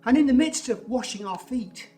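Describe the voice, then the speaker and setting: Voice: medium pitch, expressive, normal speed, warm tone, soft background music, natural voice. A performer playing the apostle Peter, retelling events in a blunt, emphatic way. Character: apostle Peter, adult man, impulsive and stubborn. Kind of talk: first-person dramatic monologue.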